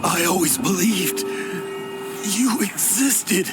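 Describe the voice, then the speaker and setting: An actor playing a weary old adventurer in a video game, guilt-ridden and wistful, with a gravelly, halting delivery. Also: male voice, fairly low pitch, lively intonation, very noisy, heavily acted. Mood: overwhelmed, moved. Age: older. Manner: halting, heavy-hearted. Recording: game music behind. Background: game music.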